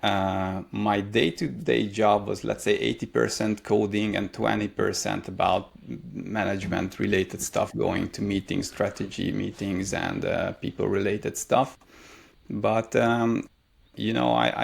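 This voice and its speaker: A man's voice: on the low side, creaky. A man in his thirties from Hungary, speaking English with a Hungarian accent as a guest in an interview, answering thoughtfully with frequent hesitations. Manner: thoughtful, many hesitations and fillers. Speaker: guest, career mentor.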